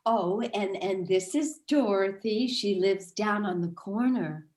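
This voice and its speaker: A woman's voice: medium pitch, takes it slowly, darkish tone, natural voice. A woman playing a gushing, catty suburban housewife in a satirical staged play reading, with exaggeratedly chatty politeness. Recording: roomy echoing video call audio.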